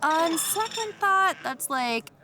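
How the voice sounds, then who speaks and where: Brash, vain young female streamer, high-pitched, swooping, dramatic and dismissive, audio comedy. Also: expressive, slow, pushed and bright, a little hiss or hum, full character voice. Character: brash, vain, social-media-obsessed young woman streamer.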